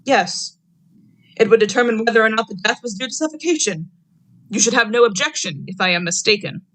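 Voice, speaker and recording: A probing doctor in an old radio mystery acted by a young woman, with a fairly high voice. The delivery is deliberate, clinical and quietly accusing. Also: close to an everyday voice, poor audio. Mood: firm, suspicious. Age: young adult. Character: probing, measured doctor who calmly accuses a murderer. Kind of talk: vintage radio drama acting.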